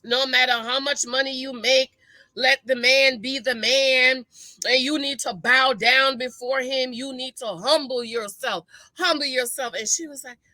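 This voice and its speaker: A woman casually recapping a reality show from home, chatty and animated, acting out the gasps of the people she describes. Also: high-pitched, a little slow, no room sound. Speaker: reaction vlogger. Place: at home, street outside audible.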